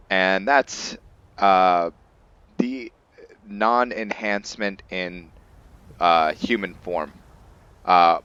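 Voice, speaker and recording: A man presenting a fantasy roleplay game playthrough as its host and narrator, speaking in an unhurried way. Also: low voice, a bit bright, clear, resonant tone, no room sound, lo-fi sound. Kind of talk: dramatic story narration, then informal commentary.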